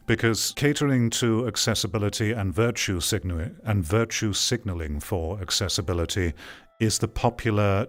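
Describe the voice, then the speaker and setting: Low-pitched man, blunt and opinionated. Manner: blunt, emphatic, deliberate phrasing with repetition for stress. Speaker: host, online commentator and streamer. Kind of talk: opinionated monologue to an audience.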